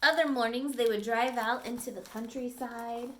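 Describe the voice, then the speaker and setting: A woman teacher reading a picture book aloud to children, with expressive storytelling and friendly asides to her listeners. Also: on the high side, moderate pace, a little hiss or hum.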